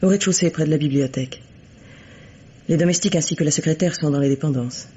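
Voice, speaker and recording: An actress playing a composed, coolly bitter middle-aged widow in a French radio mystery play, speaking formally and deliberately. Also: female voice, low voice, warm tone, natural voice. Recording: dull, lo-fi vintage radio recording.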